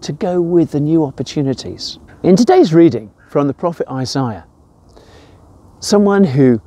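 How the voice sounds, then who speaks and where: Older English man, very animated delivery. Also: fairly high pitch, slightly rough. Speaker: church devotional presenter. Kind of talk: informal devotional talk with personal anecdotes.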